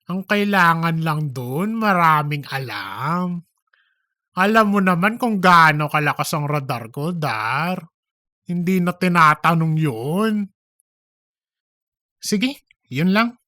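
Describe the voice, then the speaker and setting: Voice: medium pitch, lively intonation, takes it slowly, long pauses, sharp tone, no echo, studio quality. A performer acting out chatty office colleagues in a comedic audio drama, with an exaggerated, teasing conversational manner. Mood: smug.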